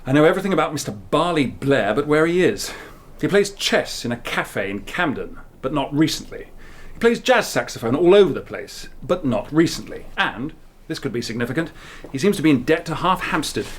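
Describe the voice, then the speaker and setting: An actor playing a weary, blunt investigator in a radio drama, wry and plain-spoken. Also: male voice, fairly high pitch, big pitch swings, brisk. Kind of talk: naturalistic radio drama acting.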